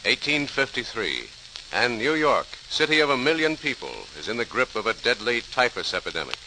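Animated male old-time radio narrator. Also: medium pitch, fairly quick. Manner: dramatic, formal. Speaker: announcer and narrator. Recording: noisy, hissy old radio recording.

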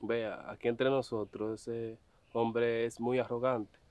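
A young gatekeeper talks in a teasing, mildly mocking way, as an actor plays him, in an ordinary mid-range voice. The sound is dry and a little dull, recorded outdoors. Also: male voice, medium pitch, level tone, slow pace, no room sound, natural voice. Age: young adult. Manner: teasing, chuckling. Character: young male gatekeeper servant, mocking but obedient. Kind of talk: web drama acting. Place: outdoors at a farm gate.

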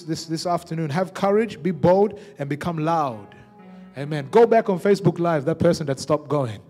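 A man's voice: sits high, dark tone. A young man preaching a sermon on a church stage into a handheld microphone, punctuating his points with repeated amens.

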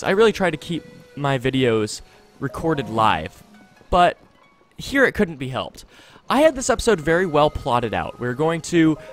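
A man hosting a gaming video with chatty, wry, self-deprecating casual commentary, speaking close to a desktop microphone. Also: a little high. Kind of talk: casual let's-play commentary. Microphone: close desktop USB microphone. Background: game music.

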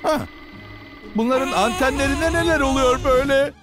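A narrator giving excited, theatrical commentary on a children's cartoon, full of gasps and exclamations. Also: sits high, normal speed, over loud music.

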